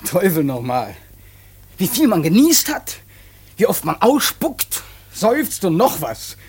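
Raspy, high-voiced, sullen young man. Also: huge pitch swings, takes it slowly, a little mellow, full character voice. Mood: exasperated. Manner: whining, defiant, snappish. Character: sullen, idle, irritable dissolute young adult son. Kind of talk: radio drama acting.

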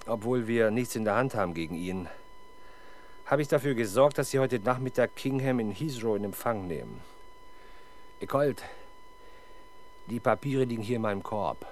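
An actor playing a world-weary, dutiful police inspector in a 1970s German radio crime drama, formal and halting in manner. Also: male voice, mid-range voice, animated, close to an everyday voice. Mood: weary, resigned. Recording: 1970s radio drama, clear background noise.

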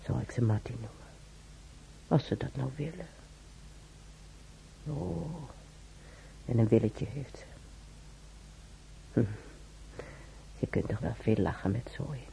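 A woman's voice: extremely low pitch, takes it slowly, pauses often, warm tone, heavily acted. A woman playing a talkative, wry old hospital patient and former maid in a radio drama, rambling colloquially and muttering to herself. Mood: wistful muttering. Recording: clearly noisy old radio recording.

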